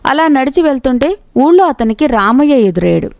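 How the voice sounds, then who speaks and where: A woman's voice: high voice, sing-song, brisk, vocal fry, darkish tone. A woman reading a scripted moral story as its narrator.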